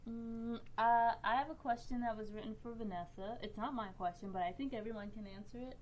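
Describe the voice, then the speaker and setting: A woman's voice: a little high, steady tone, a little fast, low-quality recording. A woman hosting a podcast round table, informal and easygoing as she moderates the conversation. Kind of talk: casual chat, moderating questions. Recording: faint room noise behind voice.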